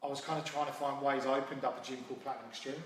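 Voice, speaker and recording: A man's voice: mid-range voice, flat delivery, rapid-fire. A man, telling his story informally as a coach being interviewed. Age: 40s. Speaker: guest, MMA coach and founder. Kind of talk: casual interview answers. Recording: very echoey hall, distant mic, faint noise.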